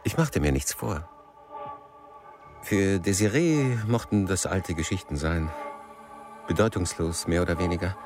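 Sardonic middle-aged man, lowish voice. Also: lively intonation, unhurried, long pauses, music in the background. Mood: brooding narration. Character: jealous, besotted middle-aged man on his honeymoon, sardonic. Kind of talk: radio drama acting.

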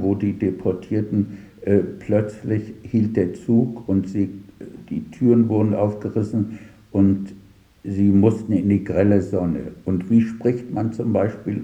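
An older man reflecting on his work as a radio drama director in an interview, pausing and searching for words as he recounts anecdotes. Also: on the low side, dark tone, reverberant, lo-fi sound. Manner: halting, searching for words, pausing mid-sentence.

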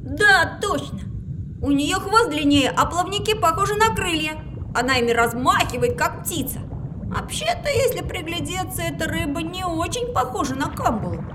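A woman playing a curious, excitable young boy with an expressive, exclamatory delivery in an educational audio drama. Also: very high voice, normal speed, background noise. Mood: amazed, curious.

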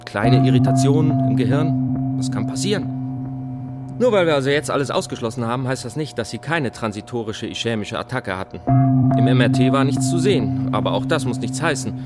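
A man's voice: mid-range voice, expressive, a little fast, loud music behind the voice, lightly acted. An actor playing a matter-of-fact, reassuring yet noncommittal male hospital doctor in a naturalistic radio drama. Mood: explanatory. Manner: explanatory, businesslike.

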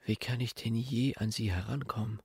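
A man's voice: lowish voice, fairly even intonation, normal speed, vocal fry. An actor playing a lovesick young admirer in a literary radio play, hopeless and speaking his despairing thoughts.

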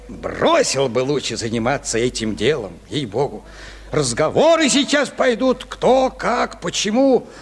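A cautious petty official in a theatrical radio play. His highish, lively voice grumbles and reproaches querulously, with a long hall reverb around it. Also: full character voice. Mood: worried, grumbling.

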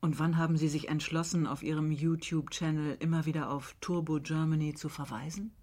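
A man's voice: a little high, flat delivery, fairly quick, a little mellow, close to an everyday voice. A man playing a reflective, articulate interview host in a radio drama. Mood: reflective, probing.

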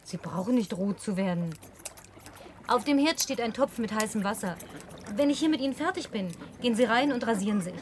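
Radio play role: actress as young woman, house-proud and bossy, higher-pitched, brisk orders over slight noise. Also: expressive, natural voice. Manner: brisk, commanding.

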